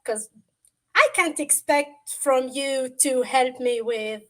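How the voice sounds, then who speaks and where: High pitched woman, arabic native speaker, casual tech explainer on a video call, says uh a lot. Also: slow pace, pushed and bright. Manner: conversational, with examples and frequent fillers. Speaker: guest presenter. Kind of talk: explaining a tech topic on a livestream.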